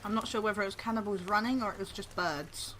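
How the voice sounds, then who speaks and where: Bright-voiced woman, dry and easygoing. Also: mid-range voice, fast talker, a little hiss or hum, rough recording. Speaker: gaming co-host. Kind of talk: casual let's-play chat.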